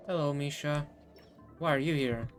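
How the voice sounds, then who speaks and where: Really low voiced girl streamer, casual game reactions, faint music behind. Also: moderate pace. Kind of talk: casual gameplay reaction commentary.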